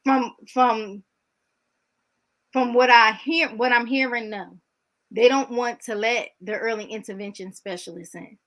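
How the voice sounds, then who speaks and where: A woman's voice: fairly high pitch, fairly bright tone. A woman answering as an interview guest in an informal, candid way, repeating points for emphasis.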